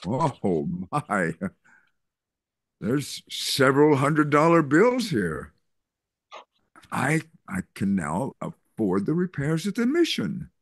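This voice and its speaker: An older man reading a gentle, hesitant reverend. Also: mid-range voice, wildly swooping pitch, takes it slowly, long pauses, no room sound, studio quality, heavily acted. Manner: hesitant, gentle, many fillers. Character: kindly older male reverend. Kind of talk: Zoom play reading. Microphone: video call.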